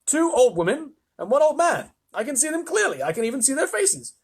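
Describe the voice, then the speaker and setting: A man voicing a flustered, excitable storybook astronaut with an urgent, insistent manner. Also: on the high side, moderate pace, slightly rough, voice pushed up. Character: flustered, excitable male astronaut Shuckworth alerting mission control.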